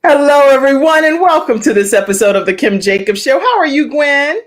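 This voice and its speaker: A woman's voice: high-pitched, big pitch swings, fairly quick. A woman hosting her own talk show, chatty and emphatic, welcoming guests and viewers. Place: virtual studio.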